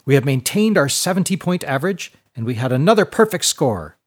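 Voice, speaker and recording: Man playing a high, excitable, pompous school rector. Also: fairly quick. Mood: proud, excited. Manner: proclaiming, emphatic.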